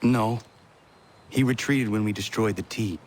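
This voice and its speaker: A man's voice: on the low side, a little hiss or hum, natural voice. Earnest young revolutionary played by a man, formal and stiff. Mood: resolute. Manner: formal, stiff, measured speech. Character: earnest, impatient young male revolutionary fighter. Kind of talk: video game cutscene acting.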